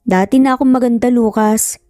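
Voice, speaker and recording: A woman's voice: a little high, fairly even intonation, brisk, dark tone, no room sound, clean studio sound, natural voice. A Filipino woman voicing a sassy, playful young woman in love with cheeky, bantering delivery. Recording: music behind the voice.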